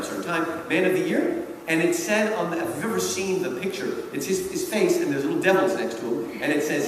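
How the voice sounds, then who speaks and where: An American man from New Jersey giving a public lecture with humorous stories, in a theatrical, emphatic manner. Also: fairly high pitch. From New Jersey, United States. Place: large reverberant event hall. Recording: echoey hall from far off, noisy.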